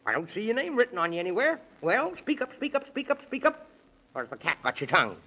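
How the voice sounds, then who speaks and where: An actor playing a fussy, scatterbrained moon doorkeeper in a 1930s children's radio drama, blustery and rambling. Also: male voice, high voice, huge pitch swings, quick pace, pushed and bright, full character voice. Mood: flustered scolding. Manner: blustery, rambling, self-correcting. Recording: dull old 1930s radio recording.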